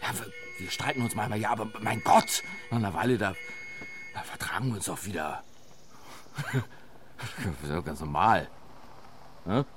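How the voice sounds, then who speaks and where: A man's voice: lowish voice, normal speed, long pauses, fairly bright tone, a little hiss or hum, natural voice. Stressed man in his forties, played by an actor. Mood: pleading, conciliatory. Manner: hesitant restarts, urgent coaxing. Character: stressed, self-justifying man in his forties, pushing everyone. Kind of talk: German radio drama acting.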